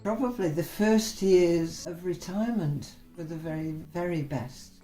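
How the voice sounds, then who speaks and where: A woman in her late seventies answering interview questions in a measured, wry way. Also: lowish voice. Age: 70s. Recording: roomy echo, faint music.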